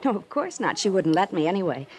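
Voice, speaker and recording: Low-pitched, earnest society woman. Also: big pitch swings, quick pace. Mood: eager, assured. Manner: earnest, refined, trusting. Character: earnest, gullible, well-educated young society woman. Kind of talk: 1950s radio drama acting. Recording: 1950s radio broadcast over music.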